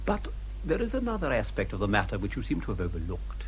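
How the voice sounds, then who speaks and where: A suave English gentleman in a period radio drama. His voice is low and lively, full of courtly flattery and formal manners, with faint noise behind. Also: fast, poor audio. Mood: ingratiating. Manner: courtly, smooth-talking, formal.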